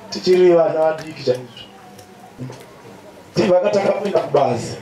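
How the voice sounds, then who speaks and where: A man's voice: high-pitched, a little mellow. A young man with a Zimbabwean accent giving a eulogy to a large gathering over a PA. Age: young adult. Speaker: friend giving a funeral tribute. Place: open-sided stadium stand outdoors. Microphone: handheld microphone over PA. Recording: echoey PA in open stand, music behind.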